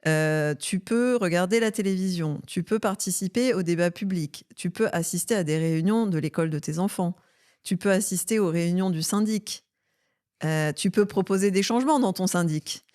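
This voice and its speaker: A middle-aged woman from Marseille speaks with a slightly low voice and a southern French accent. She is a podcast guest, explaining at length in an animated, storytelling way. Her talk is full of lists and asides. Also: moderate pace. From France. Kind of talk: podcast conversation.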